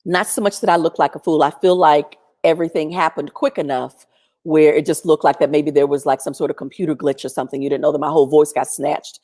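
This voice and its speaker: A woman telling a personal story in a chatty, animated way, speaking over a video call in a strong, loud voice. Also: deep voice, quick pace. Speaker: featured guest. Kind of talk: storytelling testimony.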